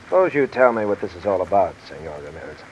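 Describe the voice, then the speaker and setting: Guarded, low-voiced crooked assistant. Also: male voice, fast, lo-fi sound. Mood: wary, defensive. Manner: polite, guarded, terse. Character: guarded, smooth-talking embezzling male assistant under pressure. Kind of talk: 1950s American radio mystery acting. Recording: old radio recording with steady rain noise. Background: rain falling.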